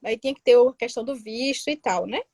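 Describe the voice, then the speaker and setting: High-voiced woman. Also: fast, breathy edge, dry recording, clean studio sound. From Northeast Brazil, Pernambuco interior. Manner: lively storyteller, casual. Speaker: guest, tax assistant in Germany. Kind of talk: interview storytelling.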